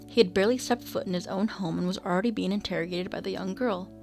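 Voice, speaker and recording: A woman's voice: medium pitch, quick pace, vocal fry, a little mellow, faint music behind. A woman narrating a radio drama in a scripted storytelling style.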